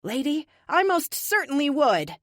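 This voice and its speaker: A woman voicing a sassy, flippant, theatrically bold American heroine in an audiobook, sarcastic and animated. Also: sits high, unhurried, dry recording, studio quality, pitched above the performer's own voice, heavily acted. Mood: defiant.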